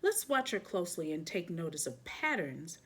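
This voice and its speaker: A woman's voice: fairly low pitch, very animated, normal speed, fairly bright tone, a little hiss or hum. A woman voicing a worried, watchful mother in a children's storybook, concerned and explaining things.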